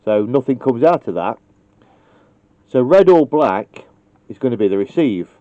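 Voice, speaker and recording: A man's voice: mid-range voice, lively intonation, a little slow, warm tone, dry recording. A man casually demonstrating how to wire a radio, thinking aloud and joking at his own expense. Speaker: CB radio technician. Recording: dull lo-fi recording.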